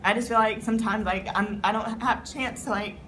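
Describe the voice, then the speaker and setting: A woman's voice: on the high side, fairly even intonation, a little fast, fairly bright tone. A woman speaking candidly in an interview, heartfelt and using "like" often. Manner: heartfelt, leans on "like" as filler. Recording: noisy ambient background. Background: background noise.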